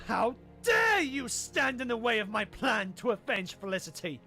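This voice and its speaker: A woman playing a suave, menacing, possessive sorcerer villain in an animated fan drama, with a silky theatrical delivery. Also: on the high side, moderate pace. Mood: pleading, enraged. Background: music.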